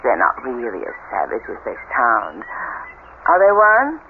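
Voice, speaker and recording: An actress playing an eager, anxious new bride in an earnest, hesitant radio mystery style. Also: female voice, a bass voice, wildly swooping pitch, a little slow, full character voice. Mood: nervous. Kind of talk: radio mystery drama acting. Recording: tinny band-limited vintage radio, clear hiss.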